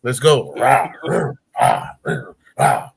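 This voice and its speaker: A man's voice: medium pitch, wildly swooping pitch, slow, creaky, husky, no echo, clean studio sound. A man in his forties, a guest on a casual talk show, telling stories with energy and acting out grunts and voices. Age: 40s.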